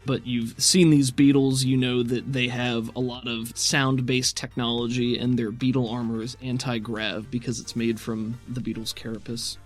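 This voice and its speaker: A man's voice: mid-range voice, flat delivery, moderate pace, few pauses. A man working as game master, narrating a tabletop roleplaying game and explaining the rules instructively. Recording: music under the voice. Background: background music.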